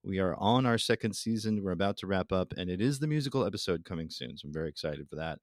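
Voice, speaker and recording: Low-voiced man, playful podcast guest. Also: animated, quick pace, no echo, clean studio sound. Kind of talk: casual podcast chat.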